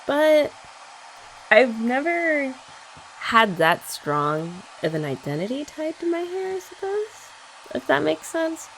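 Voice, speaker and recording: A young woman in a sweet, chatty girlfriend roleplay for ASMR, talking softly and often laughing gently. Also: high-pitched, sing-song, slow, slight background noise, rough recording.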